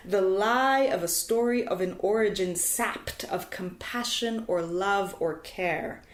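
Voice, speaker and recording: A woman storyteller delivering poetic scripted narration in a solemn, deliberate way, with dramatic phrasing and emphatic repetition. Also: medium pitch. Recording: echoey, reverberant sound with music behind. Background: music.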